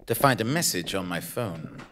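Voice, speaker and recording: A man's voice: deep voice, lively intonation, normal speed, a bit bright, a little hiss or hum. A man playing a self-important investigative journalist who narrates a comic audio drama in a pompous, melodramatic manner. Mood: pompous narration.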